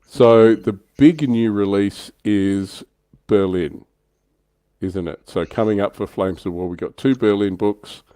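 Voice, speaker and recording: Deep, mellow-voiced man hosting a livestream. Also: measured pace, dry recording. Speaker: livestream host. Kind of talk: casual livestream chat.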